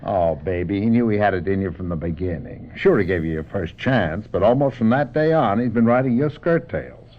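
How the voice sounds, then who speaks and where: A man's voice: lowish voice, animated, brisk, few pauses, natural voice. A man playing a loyal older family friend in a radio mystery drama, folksy, blunt and protective. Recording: dull lo-fi radio drama, faint noise.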